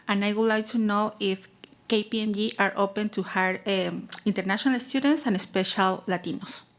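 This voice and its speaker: A woman's voice: mid-range voice, fairly even intonation, moderate pace. Young Peruvian-accented woman, hesitant. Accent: Peruvian Spanish. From Peru. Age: young adult. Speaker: student asking a question.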